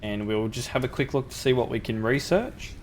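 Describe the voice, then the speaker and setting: A man's voice: mid-range voice, a little fast, a little hiss or hum. A young man with a sore throat, casually talking through a factory-building game as the channel's host, thinking aloud with ums. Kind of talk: gameplay commentary.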